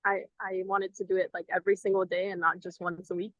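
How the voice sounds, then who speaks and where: A woman's voice: mid-range voice, flat delivery, quick pace, no room sound, dull audio. A woman in her twenties from Venezuela, a guest chatting casually in an interview, often saying um and like. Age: 20s. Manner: chatty, friendly, many ums and likes. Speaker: guest, ministry program member. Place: outdoors in front of a cathedral.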